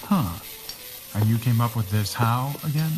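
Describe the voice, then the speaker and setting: An actor playing a suave, wry and teasing sidekick in a pulp radio drama. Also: male voice, mid-range voice, animated, moderate pace, natural voice.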